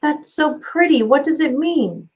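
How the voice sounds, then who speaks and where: A woman voicing a tender, steadfast young wife in a story, speaking gently and earnestly. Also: high voice, animated, measured pace, pitched above the performer's own voice, rough recording.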